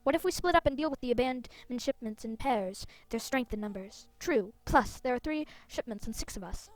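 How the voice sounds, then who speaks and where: A woman's voice: a little high, expressive, fairly quick, close to an everyday voice. Earnest young heroine, read by a woman. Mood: urgent. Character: earnest, determined young female fantasy riders.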